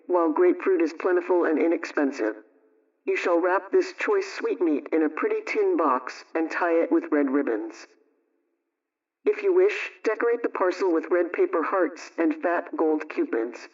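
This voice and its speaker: A woman playing a brisk, motherly homemaking adviser, telling a scripted radio story in an emphatic, instructive way. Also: low-pitched, darkish tone, far from the mic, muffled recording, natural voice.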